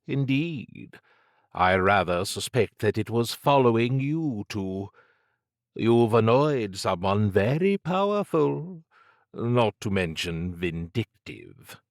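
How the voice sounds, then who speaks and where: A man voicing an urbane, condescending, androgynous occult agent for an audiobook, in a languid purr with drawn-out phrasing. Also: on the low side, big pitch swings, no echo, clean studio sound, voice pushed up, full character voice. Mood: wry, unruffled. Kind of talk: audiobook character acting.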